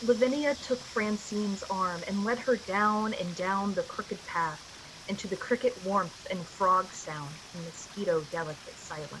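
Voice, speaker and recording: A woman's voice: mid-range voice, a little slow, noisy background, lightly acted. A woman playing the solemn storyteller of an eerie radio play, narrating a scripted tale. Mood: eerie, descriptive.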